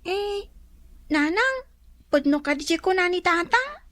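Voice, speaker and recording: A young schoolgirl in an Ilocano radio comedy. Her voice is extremely high, plaintive and questioning, with a whiny edge. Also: lively intonation, slow, a bit bright, dry recording, studio quality, exaggerated voice. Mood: inquisitive.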